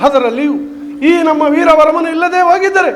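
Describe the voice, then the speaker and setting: An actor playing a bold, righteous, loyal royal subject in a declamatory mythological stage drama. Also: male voice, extremely high pitch, brisk. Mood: earnest. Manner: earnest, declamatory. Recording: reverberant hall, faint music behind.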